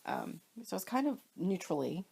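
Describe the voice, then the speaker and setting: A woman's voice: medium pitch, big pitch swings, moderate pace, gravelly, mellow, a little hiss or hum. A woman with a cold, congested and sniffly, chatting casually and rambling about her stitching purchases while filming at home. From Pennsylvania, US. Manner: chatty, rambling, self-deprecating. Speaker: cross stitch vlogger. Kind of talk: casual show-and-tell chat. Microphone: camera or phone filming fabrics, speaker off screen.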